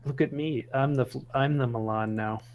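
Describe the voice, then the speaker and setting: A man's voice: medium pitch, moderate pace, darkish tone, slight background noise. A man playing a strategy game online, chatting casually and hesitantly with another player. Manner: easygoing, hesitant, joking. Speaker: fellow streamer player. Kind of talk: casual gaming chat.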